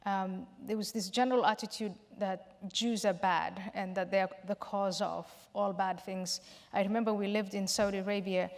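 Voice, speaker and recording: A woman from Somalia, a guest in a public question-and-answer session, explaining her points in a measured way with some restarts. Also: mid-range voice. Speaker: panel guest. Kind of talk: public Q&A discussion. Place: large hall. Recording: reverberant hall.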